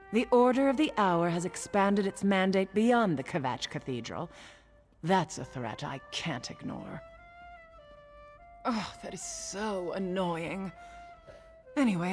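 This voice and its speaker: A woman voicing a haughty, irritable pirate queen in a video game, speaking in an imperious, theatrical and disdainful manner. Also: on the low side. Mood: irritated, imperious. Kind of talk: scripted video game monologue.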